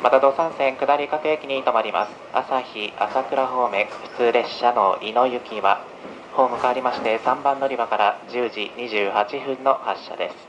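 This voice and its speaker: A man's voice: normal speed, muffled recording. A man working as a train conductor, making a formal, polite live announcement on board. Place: aboard a moving train. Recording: noisy train PA, loud rail rumble. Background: train running noise.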